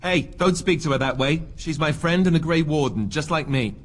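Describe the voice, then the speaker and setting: A man's voice: on the high side, lively intonation, moderate pace. A man playing an earnest, awkward young warrior prince in a video game, speaking hesitantly and sincerely. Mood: protective.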